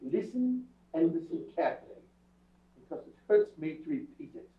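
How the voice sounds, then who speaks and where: Guy acting a fed up blustering dad, high voice, gruff and curt, old radio play, dull echoey stage recording. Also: very animated, normal speed, distant mic. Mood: exasperated, gloomy. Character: blustery, exasperated, broke rich family father. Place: indoor theatre stage, distant camera.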